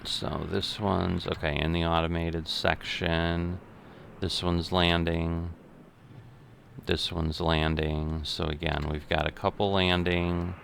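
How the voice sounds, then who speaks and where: A middle-aged man giving unscripted simulation game commentary, thinking aloud in a methodical, halting way. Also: deep voice, little pitch movement, creaky, fairly bright tone, slight background noise. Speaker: gaming video host.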